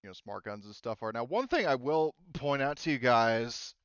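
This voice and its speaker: A man running a casual tabletop game as game master, explaining rules and narrating in an informal, halting way with frequent restarts. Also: medium pitch, animated, a bit bright, smooth, no room sound, lo-fi sound.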